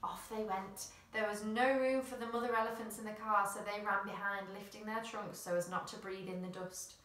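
Bright young woman storyteller. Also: mid-range voice, fairly even intonation, normal speed. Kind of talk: children's picture book reading. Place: small room at home. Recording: roomy, slightly distant mic.

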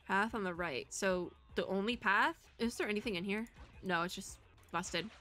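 Bright, chatty young woman with a stuffy nose. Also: medium pitch, moderate pace, soft background music. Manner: chatty, rambling, giggly. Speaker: streamer. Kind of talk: casual gameplay commentary.

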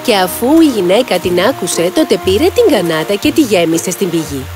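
Expressive woman storyteller over music. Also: medium pitch. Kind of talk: scripted children's fairy-tale narration.

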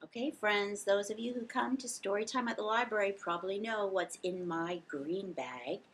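A middle-aged woman hosting a sing-along storytime for young children, animated and encouraging as she addresses them directly. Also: mid-range voice, normal speed, slight background noise. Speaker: children's library storytime host. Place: indoor lounge with couch and fireplace.

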